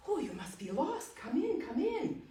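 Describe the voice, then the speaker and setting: A woman storyteller puts on a kind old man from the mountains, his voice lifted well above her own and repeating his words warmly. It sounds from afar in a very roomy, echoing space. A faint background hum sits underneath. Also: sits high, very animated, moderate pace, distant mic, voice pushed up. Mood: welcoming. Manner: warm, repeats himself.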